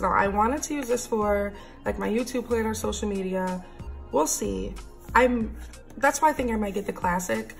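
A woman in her thirties with a raspy, slightly deep morning voice, chatting enthusiastically while flipping through planners at home. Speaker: planner vlogger. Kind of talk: casual haul and flip-through.

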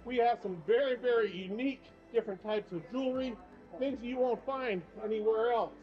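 Older man, high voice, chatty tour narration, slightly dull audio, faint music behind. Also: moderate pace. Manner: chatty, upbeat, repeats "very, very". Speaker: travel vlogger and tour guide. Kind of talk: casual walking tour narration. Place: shops and streets of a small town, indoors and out.

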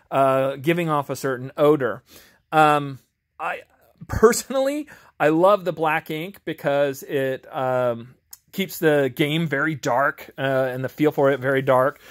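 A man chatting casually and unscripted as an amateur reviewer, in an informal, hesitant, self-deprecating way. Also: fairly high pitch. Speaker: amateur video reviewer and tabletop game master. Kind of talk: casual, unscripted chat while reviewing a game book. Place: home garage.

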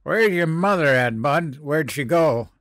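A man's voice: a little high, lively intonation, a little slow, a bit bright, dry recording, studio quality, voice pushed up. A male narrator voicing a drunk, bitter, out-of-work father who slurs and growls. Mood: slurring. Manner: slurring, growling, sneering. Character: drunk bitter unemployed father, middle-aged man.

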